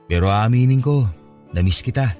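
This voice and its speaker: Young Filipino ex-boyfriend played by a man, medium pitch, earnest and remorseful, radio romance with faint music underneath. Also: moderate pace, darkish tone, close to an everyday voice.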